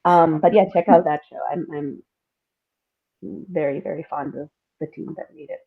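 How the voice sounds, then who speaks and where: A woman from India and Singapore chatting casually as an interview guest, telling personal stories in an animated way with frequent laughter. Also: sits low, normal speed, slightly rough. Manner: animated, laughs often while talking. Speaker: podcast guest, playwright and screenwriter. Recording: thin phone-like call audio.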